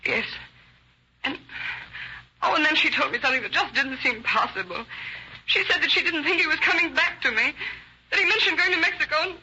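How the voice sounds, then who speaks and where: Troubled wife, earnest hesitant recounting, high piercing voice, vintage radio drama, dull recording. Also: female voice, animated, fairly quick, pauses often, pushed and bright, exaggerated voice. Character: worried, loyal wife giving a statement to police. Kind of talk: 1940s radio acting. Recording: muffled, hissy old radio recording.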